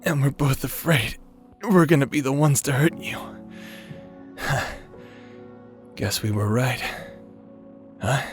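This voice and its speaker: A weary warrior at the end of his life , acted by a man, speaking slowly to someone he is fond of. He is gentle, halting and self-deprecating, in an audio drama style with faint music behind him. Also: mid-range voice, natural voice. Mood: weak, resigned. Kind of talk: audio roleplay dramatic acting.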